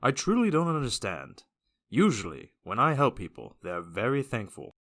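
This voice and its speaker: A man's voice: medium pitch, wildly swooping pitch, moderate pace, fairly bright tone, heavily acted. A man voices a blunt young-hearted fantasy hero for an audio drama. He speaks formally and very literally, with earnest weight and widely moving intonation. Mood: blunt, puzzled. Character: blunt, earnest male fantasy hero, socially naive, grieving.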